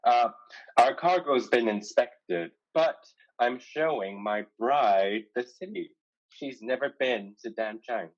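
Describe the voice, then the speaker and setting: A commanding male pirate leader played by a man in a stage drama, heard on slightly lo-fi audio. He talks slowly, with a medium pitch. His manner is shrewd, cautioning and authoritative. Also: animated. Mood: shrewd, smooth. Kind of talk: staged play reading, dramatic dialogue.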